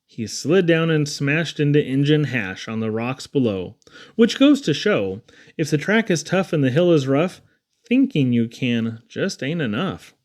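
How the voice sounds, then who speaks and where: A man reading children's poetry aloud in an expressive, theatrical storytelling style with dramatic emphasis. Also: on the high side, unhurried. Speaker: reader.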